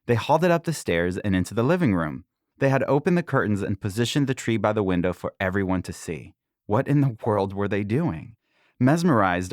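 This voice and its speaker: Low-voiced man calmly reading his book. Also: no room sound, studio quality. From Israel. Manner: calm, measured reading with soft chuckles. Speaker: podcast host and author.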